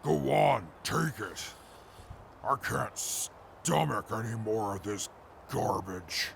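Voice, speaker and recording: A heavily gravelled, slow-speaking super mutant, proud and stubborn. He grumbles in pompous, formal phrases that swing widely in pitch. The setting is a post-apocalyptic audio drama, with faint noise behind. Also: lively intonation, fairly bright tone, heavily acted. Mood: disgusted.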